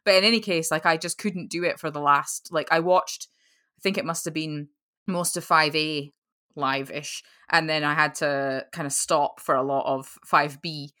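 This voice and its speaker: Chatty young woman, slightly low voice. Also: steady tone, normal speed, sharp tone. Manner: chatty and rambling, many "like" fillers. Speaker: podcast guest. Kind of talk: casual chat over a call.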